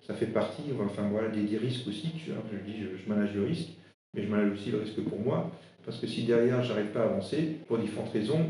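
A man's voice: fairly low pitch, dark tone. Hesitant man in a very echoey room. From eastern France, Alsace. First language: Alsatian. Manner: halting, many fillers and restarts. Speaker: interim HR director. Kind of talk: podcast interview answers. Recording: very echoey room with faint noise.

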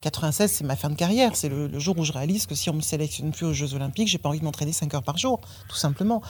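A woman's voice: low voice, very fast, slightly rough, no echo. French woman in her 50s talking straight, blunt, gets fired up, no filter. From France. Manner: frank and blunt, swears, rising indignation. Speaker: interviewee, former athlete. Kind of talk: candid personal testimony.

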